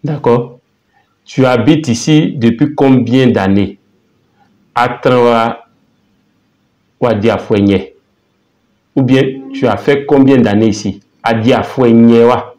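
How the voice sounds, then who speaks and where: Man teaching, halting and repetitive. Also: medium pitch, darkish tone. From Côte d'Ivoire. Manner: halting, repeats words, spells out phrases slowly. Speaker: language teacher. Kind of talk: casual language lesson.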